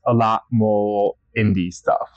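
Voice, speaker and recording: A man's voice: lowish voice, fairly even intonation, slow, a little mellow, no room sound. A young man co-hosting a casual podcast chat, easygoing and a bit hesitant. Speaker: podcast co-host. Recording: dry, dull lo-fi sound.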